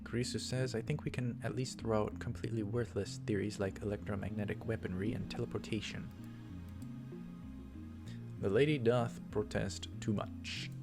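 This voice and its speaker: A man with a low, gravelly voice reads a visual novel aloud as a let's play host. He drops casual commentary between the lines, with music clearly playing behind him. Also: steady tone, normal speed.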